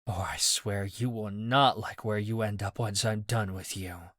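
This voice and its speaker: A man's voice: on the low side, fairly even intonation, unhurried, a bit bright, no room sound, clean studio sound. Guy doing a bossy young woman, firm and snappy, basically his own voice. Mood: snapping. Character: young woman, sharp, commanding.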